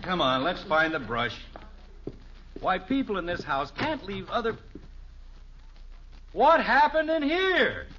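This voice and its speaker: A man's voice: high-pitched, very animated, slow, pauses often, pushed and bright, a little hiss or hum, full character voice. An actor playing an exasperated middle-aged American father in a 1950s radio sitcom, with an emphatic, blustering manner. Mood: indignant, blustering. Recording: muffled vintage 1950s radio recording.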